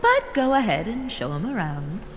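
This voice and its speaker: A woman playing a doting, possessive female elder in an audio drama, with a drawn-out, sweetly condescending, theatrical delivery. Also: on the high side, wildly swooping pitch. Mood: pleased, grand. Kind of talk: scripted fan audio drama acting. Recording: reverberant hall, faint noise.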